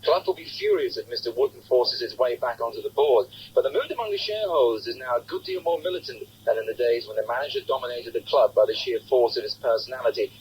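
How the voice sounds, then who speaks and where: A man files a scripted sports report for radio. His voice sits at an average pitch with animated rises and falls, over a faintly noisy old broadcast. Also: fast talker, few pauses. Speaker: sports correspondent.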